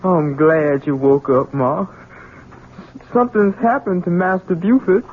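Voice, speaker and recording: An actor playing a simple-minded, childlike grown son in an American radio drama, speaking in a halting, pleading rustic way. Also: male voice, high-pitched, fairly even intonation, slight background noise. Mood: meek, apologetic. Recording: tinny band-limited old radio broadcast.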